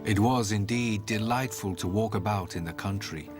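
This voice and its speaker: A man's voice: on the low side, moderate pace, over music. A man telling a fairy tale as a scripted, theatrical storybook narration.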